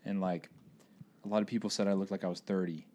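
A man in his twenties in a casual podcast chat, telling stories and reacting with lively slang. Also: low-pitched, quick pace. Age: 20s.

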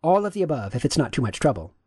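A man reading the lines of a courteous, gender-neutral AI chatbot, with his voice pitch-shifted upward. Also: sing-song, racing, darkish tone, husky, dry recording, studio quality. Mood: polite, agreeable. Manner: courteous, affirming, asks follow-up questions. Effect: pitch raised.

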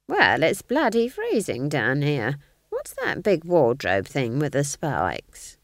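A cheeky, earthy old witch from a fantasy audiobook, as a female reader plays her. She is blunt and unflappable, with colloquial phrasing and lively intonation over an unhurried pace. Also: low-pitched, dry recording, studio quality, voice pushed down, full character voice. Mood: cheeky, unimpressed.

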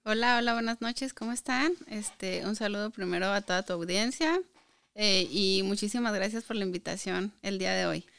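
A woman's voice: medium pitch, moderate pace, gravelly, a bit bright, smooth, dry recording. A woman in her thirties or forties with a northern Mexican Spanish accent, chatting casually as a podcast guest. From Chihuahua, Mexico. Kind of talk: casual conversational interview.

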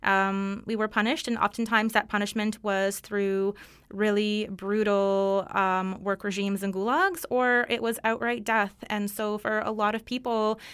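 Ukraine-born woman in her 30s, first language russian, super smooth clear voice, dry close sound, talks earnest and measured with lots of ums. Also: medium pitch, a bit bright, no echo. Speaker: interviewee, activist. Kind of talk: conversational interview answers.

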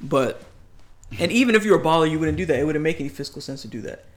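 A man's voice: on the high side, animated, fast talker. A man, giving blunt advice in a casual chat, emphatic and rambling with restarts. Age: 20s.

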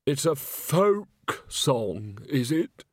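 Condescending auditioner, drawled and raised in pitch, dry close sound; male reader doing the voice. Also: very animated, drawn-out words, dry recording, full character voice. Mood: patronizing. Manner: drawling, patronizing.

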